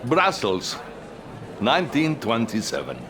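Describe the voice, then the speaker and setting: A man playing a scheming, ambitious Soviet colonel with a Russian accent in a dramatic game cutscene, speaking with deliberate theatrical pauses. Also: medium pitch, huge pitch swings, noisy background. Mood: smug, grand. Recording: music under the voice.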